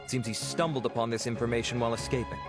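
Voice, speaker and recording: A man's voice: medium pitch, fairly even intonation, rapid-fire, music in the background, lightly acted. An actor playing a dutiful male investigator in a video game, speaking in a formal, polite, businesslike way.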